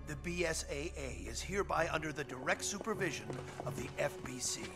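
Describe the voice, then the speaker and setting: Casting: older villainous game official, cold, commanding, fairly high voice, music behind. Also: male voice, animated, brisk. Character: imperious older male agency chief, cold villain. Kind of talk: video game voice acting.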